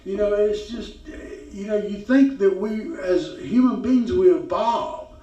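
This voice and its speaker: A man's voice: sits high, a little slow, mellow. A man in his fifties with a Southern US accent, hosting a podcast and telling stories in a rambling, folksy way. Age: 50s. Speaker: podcast host. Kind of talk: casual talk show chat. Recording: roomy, distant, dull sound.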